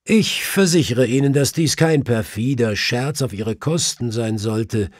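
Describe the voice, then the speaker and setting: Audiobook detective read by a man: commanding, courteous but brisk, raised husky voice, emphatic delivery. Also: medium pitch, no echo, clean studio sound, pitched above the performer's own voice, close to an everyday voice. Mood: emphatic, explaining. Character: brisk, commanding male detective, urgent and superior.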